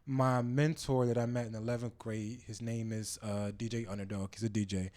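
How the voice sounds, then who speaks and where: A man's voice: fairly low pitch, flat delivery, moderate pace, a little mellow. A young man, a podcast guest whose first language is not English, chatting casually in a loose, slangy, profane way with lots of fillers. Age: young adult. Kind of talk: casual chat.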